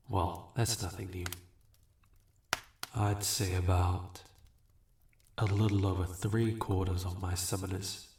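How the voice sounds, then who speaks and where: A man playing a smug, manipulative archdemon in a fantasy audio roleplay, talking down to the listener in a deliberate, smooth-talking way. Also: sits low, a little mellow, a little hiss or hum. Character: smug, weary, manipulative male archdemon bargaining for contracts. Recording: roomy, echoing voice recording.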